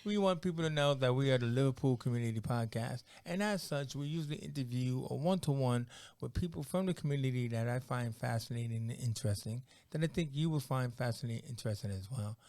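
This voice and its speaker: An American man hosts a relaxed one-to-one chat, wandering through his questions with plenty of fillers and quick jokes. His voice sits at an ordinary pitch and is recorded dry and close, with no room sound around it. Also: no room sound. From United States. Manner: rambling and jokey, heavy on "like" and "you know". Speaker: podcast host. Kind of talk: casual interview chat.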